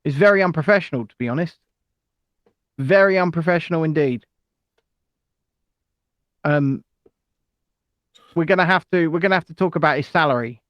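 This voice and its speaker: Sex: male. Role: live podcast co-host. Pitch: a touch high. Sound: dry and close. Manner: rambling, full of ums and tangents. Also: moderate pace, pauses often, fairly bright tone, dry recording. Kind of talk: casual live chat.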